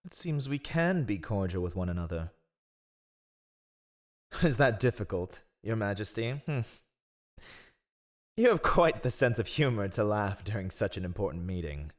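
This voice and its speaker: A man's voice: lowish voice, big pitch swings, a little slow, long pauses. A man playing a scheming, haughty royal spy master in a fantasy audio roleplay, with sardonic, theatrical disdain. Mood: cordial, wry.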